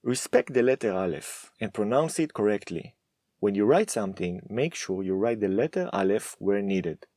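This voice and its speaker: A man teaching a Hebrew lesson, speaking slowly and deliberately with firm emphasis. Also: lowish voice, expressive, mellow. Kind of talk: scripted lesson to camera.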